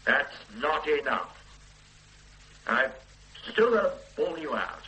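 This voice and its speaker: An actor playing a sarcastic, authoritative police superintendent in a radio drama. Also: male voice, a little high, big pitch swings, slow, sharp tone, slight background noise, full character voice, poor audio. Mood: sardonic. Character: stern sardonic male police superintendent. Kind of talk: 1970s radio drama acting.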